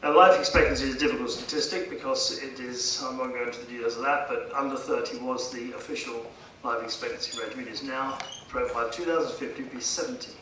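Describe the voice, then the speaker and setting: A man delivering a formal public speech as a guest speaker, emphatic and rhetorical, pausing for effect. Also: mid-range voice, steady tone, sharp tone. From India. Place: conference hall, addressing a seated audience. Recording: echoey hall, distant mic, faint noise.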